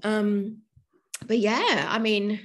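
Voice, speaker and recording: A woman talks in a relaxed interview. Her voice sits at a middling pitch, and she pauses often to find her words as she reflects. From London, England. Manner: reflective, halting, searches for words. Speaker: podcast guest, yoga teacher. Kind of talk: casual interview conversation.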